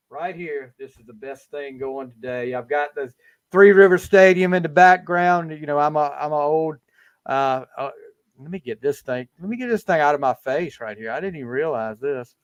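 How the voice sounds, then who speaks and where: Fifty yr old guy hosting a podcast over a video call, kinda high voice, rambles and restarts a lot, dry sound. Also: clean tone, no echo. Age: 50s. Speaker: podcast host. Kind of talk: casual chat.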